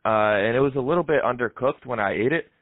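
A young man making a prank phone call in a deadpan, hesitant, rambling way. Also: mid-range voice, fast talker, dry recording. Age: young adult. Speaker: prank caller and show host. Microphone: headset microphone. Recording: dull, band-limited call audio.

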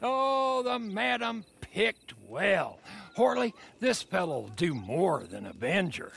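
An actor playing a rambling, philosophical old trapper with a gravelly, folksy drawl. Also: male voice, high voice, sing-song, takes it slowly, a bit bright, full character voice. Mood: musing. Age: older.

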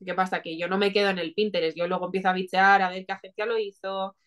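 A woman's voice: mid-range voice, little pitch movement, quick pace, a bit bright. A woman in her twenties with a Spain Spanish accent, chatting informally as an interview guest on a video call. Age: 20s. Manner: informal, conversational. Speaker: interview guest, marketing specialist. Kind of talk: casual chat. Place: small home room, indoors.

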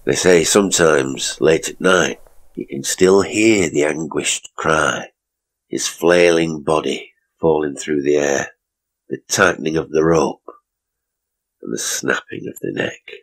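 Man playing a deep, macabre ghost tour guide. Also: slow, full character voice. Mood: macabre, relishing. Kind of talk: horror story acting, showman's patter.

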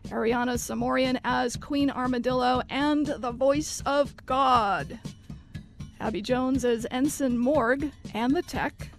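A woman's voice: sits high, slow pace, faint music behind. A young woman reading scripted announcements as host of a live student radio broadcast. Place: college radio studio.

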